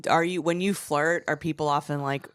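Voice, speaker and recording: A woman with a low voice, co-hosting a comedy podcast and joking with frequent laughter. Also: steady tone, moderate pace, smooth, dry recording, studio quality. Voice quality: low-pitched voice. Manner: playful, often laughing. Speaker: podcast co-host. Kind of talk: casual comedic chat.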